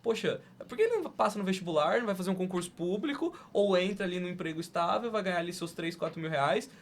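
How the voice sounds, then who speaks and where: A man's voice: sits high, expressive, quick pace. A young man with a Brazilian accent hosting a podcast in casual, slangy conversation. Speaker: podcast host.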